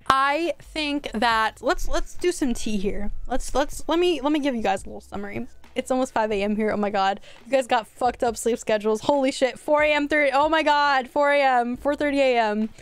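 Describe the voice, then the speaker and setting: A woman's voice: sits high, smooth, no room sound. A young woman streaming from home, chatting casually and rambling with restarts, her voice a little worn from hours of talking. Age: young adult. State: voice going hoarse from a long stream. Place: home streaming room, indoors. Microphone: studio mic on a boom arm.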